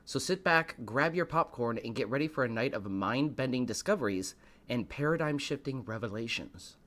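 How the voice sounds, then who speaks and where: A man hosting a live stream, a little hoarse, greeting chat and building up the evening with showy, dramatic lines. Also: medium pitch, expressive, normal speed, a bit bright. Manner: showy, dramatic build-ups addressed to viewers. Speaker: host of a live stream show.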